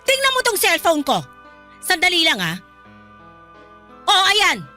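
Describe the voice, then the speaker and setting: Woman acting as angry betrayed mom, super high piercing voice, scolding hard, radio drama style. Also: huge pitch swings, a little slow, soft background music, full character voice. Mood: accusing, angry. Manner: accusing, scolding, forceful. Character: furious betrayed single mother confronting her thieving maid.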